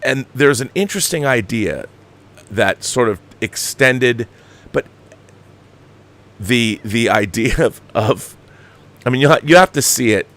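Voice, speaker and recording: A man's voice: medium pitch, animated, a little slow, long pauses, a bit bright. A man hosting a film commentary show, giving an opinionated, exasperated and emphatic review peppered with swearing. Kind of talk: opinionated movie review rant.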